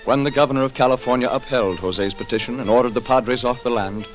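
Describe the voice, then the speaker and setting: A man's voice: mid-range voice, fast talker, soft background music. A man narrating a scripted 1940s radio drama in a solemn, declamatory manner. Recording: 1940s radio broadcast recording.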